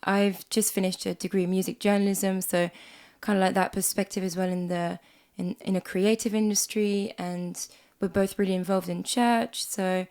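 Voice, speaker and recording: A woman in her twenties from Switzerland with a slight Swiss accent, co-hosting a casual podcast chat at home, a little croaky from a cold and quick to laugh. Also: medium pitch, level tone. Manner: chatty, warm, laughs often. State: hoarse from a cold. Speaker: podcast co-host. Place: small music room at home.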